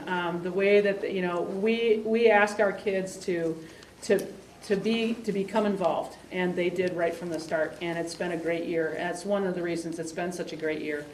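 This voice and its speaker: Rambling woman speaking from afar in a room. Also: on the low side. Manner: rambling, halting with fillers and restarts. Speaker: exchange program coordinator. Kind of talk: presentation to a meeting. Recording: roomy sound from a distant mic, faint hiss.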